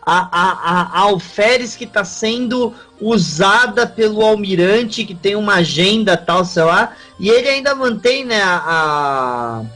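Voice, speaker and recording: A man with a Brazilian Portuguese accent giving his view as a panelist in a casual fan discussion, halting and repeating words. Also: high voice, expressive, sharp tone, faint music behind. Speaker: podcast panelist.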